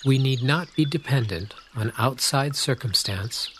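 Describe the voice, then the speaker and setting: A man narrating a guided meditation, calm and unhurried, speaking in short scripted phrases with long pauses. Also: medium pitch. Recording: clear nature-sound noise under voice. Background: birdsong and pouring water.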